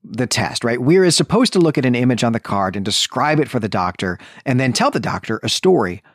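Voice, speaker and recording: A man's voice: medium pitch, sing-song, a little fast, no room sound. A man hosting a literary podcast, recapping and discussing in an enthusiastic, emphatic way. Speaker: podcast host.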